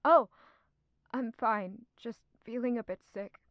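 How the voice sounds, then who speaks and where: An evasive young noblewoman in an audio drama. Her voice is high and slow, guarded and reluctant. The recording is dry and a little dull. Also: no echo.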